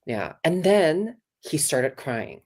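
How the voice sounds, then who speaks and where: A woman voice coach talking casually on a video call, animated and demonstrative, with many fillers and restarts. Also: low voice, takes it slowly. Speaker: voice coach guest. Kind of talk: casual interview, teaching.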